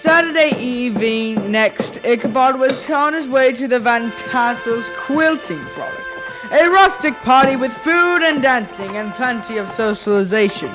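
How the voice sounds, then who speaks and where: A woman's voice: on the high side, expressive, a little slow, over music, poor audio. A female performer playing the formal storyteller who narrates a folk tale in a school radio play. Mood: storytelling. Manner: formal, literary phrasing.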